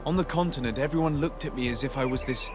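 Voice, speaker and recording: A composed noble diplomat played by a male actor talks with polite, measured sincerity. He has a mid-pitched man's voice, recorded as video game dialogue over clearly audible music. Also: natural voice. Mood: reflective.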